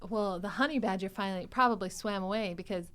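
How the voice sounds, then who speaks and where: A woman hosts a casual podcast discussion in a mid-pitched voice. She talks expressively and with plenty of enthusiasm, in an informal, chatty way. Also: fast, fairly bright tone, clean tone. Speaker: podcast host.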